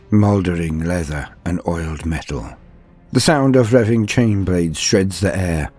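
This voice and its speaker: A man delivering dramatic scripted narration in a grave, theatrical manner with deliberate emphasis. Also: low voice, darkish tone, breathy edge. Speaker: narrator of a fantasy war lore channel.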